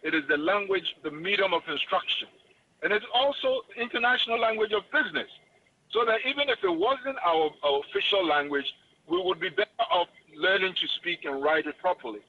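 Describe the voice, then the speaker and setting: A man's voice: high voice, lively intonation, a little fast. A Ghanaian man with a Ghanaian English accent, a guest expert speaking emphatically in a lecturing way during an interview. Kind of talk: interview on education. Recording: muffled radio broadcast with faint noise.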